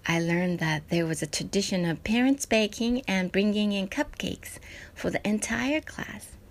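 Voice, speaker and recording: Woman. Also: mid-range voice. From Japan. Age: 40s. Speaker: presenter of a personal parenting essay. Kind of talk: scripted personal narration.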